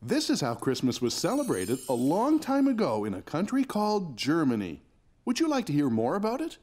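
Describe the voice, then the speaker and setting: An actor playing a gentle, patient young father in a children's cartoon, soothing and a little teasing. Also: medium pitch, wildly swooping pitch, moderate pace, slight background noise. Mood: warm storytelling. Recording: music under the voice.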